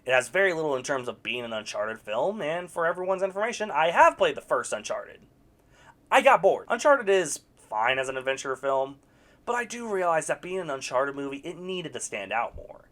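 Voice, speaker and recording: Young adult reviewer, mid-pitched voice that swings widely, sarcastic with blunt verdicts; suits scripted critique. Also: very animated, a little fast, fairly bright tone. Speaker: video reviewer. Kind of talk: scripted movie review commentary.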